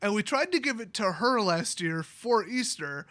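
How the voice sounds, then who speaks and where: High-pitched, bright American man rambling casually. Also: moderate pace, no echo. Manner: animated, exaggerating, quotes people in acted-out voices. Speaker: YouTube vlogger. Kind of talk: casual rambling personal storytelling.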